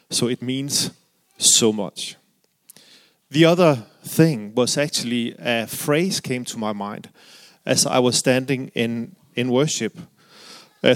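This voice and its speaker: A middle-aged man from Denmark with a Danish accent, preaching earnestly with frequent fillers and restarts. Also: medium pitch. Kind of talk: sermon.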